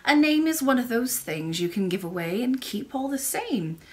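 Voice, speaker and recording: Woman acting a very old royal grandmother, kindly, calm, unhurried assurance, mysterious, storybook character. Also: a little high. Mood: patient. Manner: measured, gently assured.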